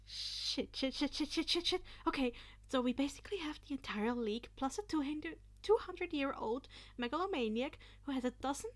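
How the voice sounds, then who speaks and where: A high, nervy teenage boy hero, acted by a woman reading fan fiction. The delivery is urgent and rambling, lifted well up in pitch, like someone plotting something reckless under pressure. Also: animated, moderate pace. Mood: panicked. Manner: urgent, rambling, breathless whispering. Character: anxious teenage boy hero hatching a frantic reckless plan.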